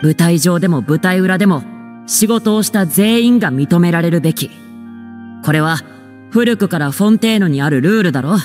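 A man's voice: high voice, lively intonation, moderate pace, darkish tone, big-room sound, faint music behind. A man playing an earnest, courteous young theatre troupe member in an anime video game. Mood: placating, earnest.

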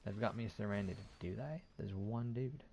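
Low, gravelly man commentating on a game. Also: normal speed, darkish tone. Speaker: gaming YouTuber. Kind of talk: casual gameplay commentary.

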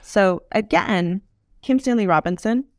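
A woman in a thoughtful interview conversation, with a noticeably creaky, gravelly edge to her voice. She explains carefully and stresses points by repeating them. The sound is dry, with very little room in it. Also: medium pitch, a little slow, dry recording. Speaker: podcast guest, futurist author.

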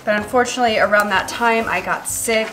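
A woman in her thirties with a stuffy, nasal voice from a cold, chatting casually and relaxed with many ums while she wraps gifts in her kitchen. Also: medium pitch, fairly quick, music in the background, low-quality recording. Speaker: lifestyle vlogger. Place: echoey home kitchen and living room.

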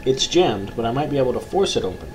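A young man with a low voice commentating a horror game from his bedroom, joking with mock bravado and reading the game's text aloud. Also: big pitch swings, quick pace. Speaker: gaming YouTuber. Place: small bedroom, indoors. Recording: noisy home recording.

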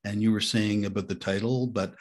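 A man's voice: on the low side, fairly even intonation, fairly quick. A man hosting a podcast interview, asking thoughtful, hesitant questions with fillers. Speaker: podcast host.

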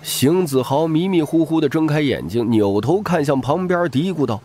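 A man's voice: fairly high pitch, animated, normal speed, a little mellow, slight background noise. A man narrating a novel as a dramatic scripted audiobook.

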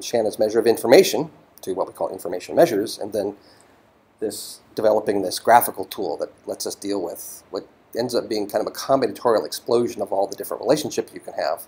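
A man's voice: fairly low pitch, a little fast, warm tone. A middle-aged man giving a physics lecture as a voiceover to slides, thoughtful and full of ums and restarts.

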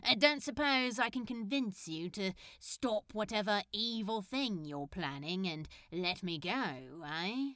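Woman voicing a bright, laid-back male hero. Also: mid-range voice, animated, slow pace, hardly any pauses, no room sound, studio quality. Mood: casual. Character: laid-back, friendly male superhero captive. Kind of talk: fan fiction audiobook character.

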